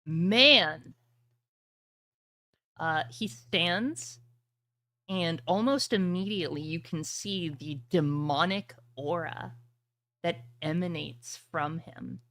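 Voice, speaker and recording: A woman's voice: lowish voice, takes it slowly, pauses often, pushed and bright. A woman playing a tabletop roleplaying game, mixing casual table talk with improvised narration.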